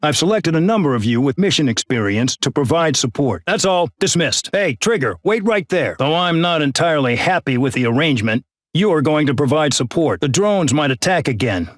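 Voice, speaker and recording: A man's voice: a little high, expressive, brisk, no echo, studio quality, lightly acted. A man voicing an arrogant, bullying male air base colonel in a game, barking brusque, contemptuous orders. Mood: stern. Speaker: military commander. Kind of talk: game voice acting.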